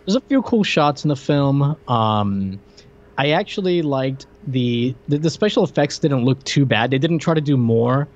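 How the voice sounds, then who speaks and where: A man's voice: medium pitch, expressive, moderate pace, dull audio. A man co-hosting a podcast, chatting casually and teasing his partner as they review a movie. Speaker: podcast co-host.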